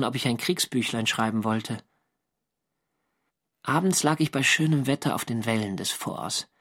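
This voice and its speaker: An actor playing a wry First World War sailor narrating his war diary in measured, clearly articulated German radio drama style. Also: medium pitch, a bit bright.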